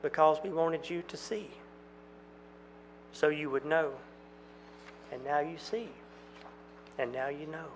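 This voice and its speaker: A man voicing twin country girls, speaking quietly and accusingly. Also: fairly high pitch, steady tone, takes it slowly, pauses often, a little hiss or hum. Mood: resigned. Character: eerie country girls, twin sisters, calm and reproachful.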